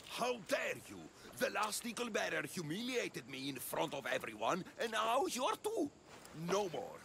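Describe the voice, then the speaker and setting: A man's voice: sits high, huge pitch swings, measured pace, creaky, bright, forceful tone, slight background noise, full character voice. An actor playing a vain, deluded villager who thinks he is an immortal hero, boasting theatrically in a video game. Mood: wounded, indignant. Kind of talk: video game cutscene acting.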